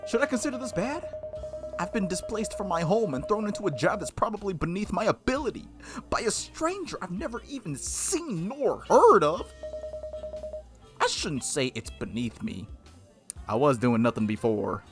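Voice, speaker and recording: Let's play narrator, mid-pitched, animated intonation, casual jokey commentary, stumbling reader, background music. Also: moderate pace. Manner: jokey asides, giggly, stumbles over words while reading. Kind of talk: reading visual novel aloud with casual commentary. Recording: music behind the voice.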